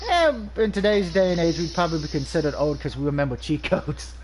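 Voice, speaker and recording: A man's voice: a little high, animated, fast talker, darkish tone, a little hiss or hum, poor audio. A man with a nasal, stuffy voice from allergies, casually reviewing a video game over gameplay, rambling with frequent ums and restarts.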